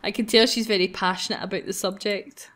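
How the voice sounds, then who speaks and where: Woman host with a mid-pitched voice. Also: fairly even intonation, brisk, fairly bright tone. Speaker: gaming video host. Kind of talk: reading visual novel aloud with casual banter.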